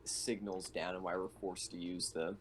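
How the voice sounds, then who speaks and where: A man's voice: low-pitched, level tone, normal speed, slight background noise. A man playing a tabletop game, talking casually as one of the players. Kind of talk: casual game-session chat.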